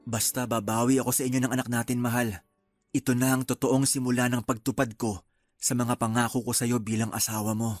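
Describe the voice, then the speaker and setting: Male actor as earnest young husband in radio drama, affectionate and easygoing, medium pitch, soft music bed. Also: level tone, fairly quick, lightly acted. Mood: earnest, devoted. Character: hardworking, devoted young husband and father. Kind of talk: Tagalog radio drama acting.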